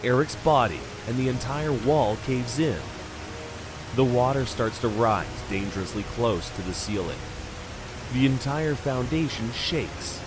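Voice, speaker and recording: A man's voice: medium pitch, measured pace, over music. A man reading dramatic scripted narration for a horror audio drama.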